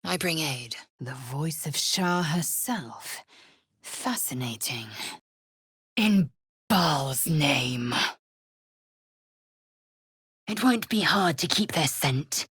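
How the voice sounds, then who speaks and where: An actress voicing a fierce, proud alien warrior woman in a video game, husky and clipped, with a haughty formal delivery. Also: deep voice, expressive, slow pace, fairly bright tone, dry recording, clean studio sound, heavily acted. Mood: grave. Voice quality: husky, breathy rasp. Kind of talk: video game character acting.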